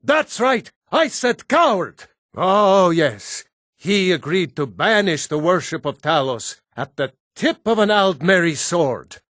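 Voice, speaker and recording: An actor playing a fervent Nord preacher in a fantasy video game, declaiming with bombastic, drawn-out oratory. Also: male voice, high voice, very animated, fairly bright tone, no room sound, clean studio sound, exaggerated voice. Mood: indignant, scornful. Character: fervent Nord preacher zealously devoted to his god Talos.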